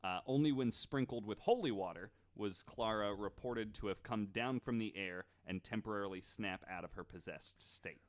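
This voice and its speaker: A man's voice: lowish voice, normal speed, few pauses. A man hosting a podcast, relating a story from his notes in a loose, casual way with lots of fillers and restarts. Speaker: podcast host.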